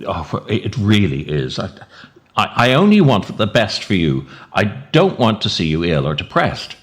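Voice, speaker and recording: A man's voice: lowish voice, wildly swooping pitch, a little slow, pitched below the performer's own voice. Man playing earnest, nagging inner self, wildly swooping. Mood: earnest concern. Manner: exasperated, pleading, stammering. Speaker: podcast writer and performer. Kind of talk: comic scripted dialogue with himself.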